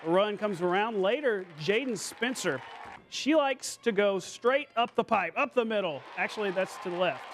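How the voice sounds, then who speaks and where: An American man anchoring a TV sportscast, calling highlights in a playful, colloquial, punchy way. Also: a bit bright, slight background noise. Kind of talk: scripted sportscast with highlight commentary.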